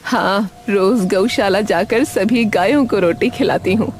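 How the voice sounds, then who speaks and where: A woman voicing an anxious, devoted elderly village mother in an animated story, hesitant and pleading. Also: mid-range voice, a little slow, slight background noise, natural voice. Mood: humble, tender. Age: older. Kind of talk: Hindi animated story dialogue. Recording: music under the voice.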